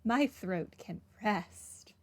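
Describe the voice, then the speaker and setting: A woman gives casual commentary on a game livestream. Her pitch is average for a woman, and her manner is dry and playfully sarcastic. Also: expressive, extremely slow. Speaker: game streamer.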